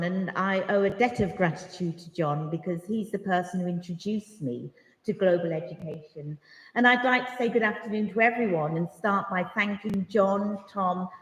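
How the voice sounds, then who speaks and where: Woman, head teacher, gracious and measured, roomy hall reverb. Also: medium pitch. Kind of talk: formal speech. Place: large hall.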